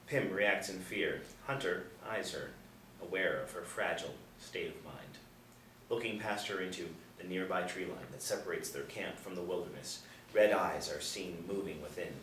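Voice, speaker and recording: A man's voice: sits low, normal speed, a bit bright. A man reading stage directions as scripted narration at a table reading. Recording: roomy, distant mic, faint noise.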